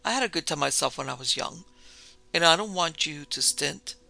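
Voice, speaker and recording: A man's voice: a little high, normal speed, creaky, sharp tone, heavily acted. A man voicing a domineering older baronet father in a storybook, blustering, scornful and condescending. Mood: brisk, coaxing.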